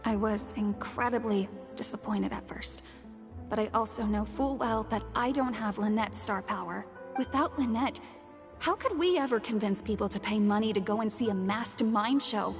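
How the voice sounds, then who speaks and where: A woman's voice: mid-range voice, moderate pace, close to an everyday voice. A woman voicing an earnest, modest young actress in a video game, with a sincere, polite delivery. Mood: earnest, subdued. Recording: long reverb, music behind.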